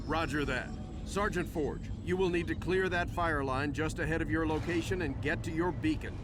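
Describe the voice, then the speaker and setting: A man's voice: a little high, moderate pace, background noise, lightly acted. A man playing an authoritative warship captain in a video game, giving clipped orders. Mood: businesslike. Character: commanding, terse male warship captain. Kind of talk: video game cutscene acting.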